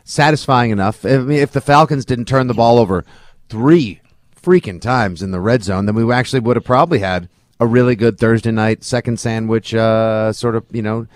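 A man's voice: medium pitch, normal speed, no room sound. A man co-hosting a sports podcast with casual, wry, colloquial football banter.

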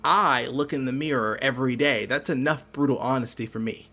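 A man playing a caring, teasing middle-aged uncle in a naturalistic audio drama, speaking in a gentle, wry, reassuring way. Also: mid-range voice, a little fast.

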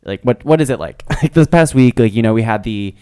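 A man's voice: medium pitch, expressive, a little fast, mellow, smooth, no echo, studio quality. A man hosting a podcast, asking chatty, rambling questions full of fillers. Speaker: podcast host. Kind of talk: casual podcast conversation.

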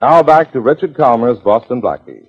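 Dramatic vintage radio announcer, tinny sound. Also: male voice, medium pitch, animated, moderate pace, husky. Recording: tinny band-limited 1940s radio recording.

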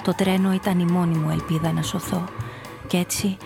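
A hard-boiled femme fatale telling her story in a radio play, lowish and croaky, slow-burning confession, faint music. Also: flat delivery. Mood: somber, tense. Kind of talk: radio drama first-person narration.

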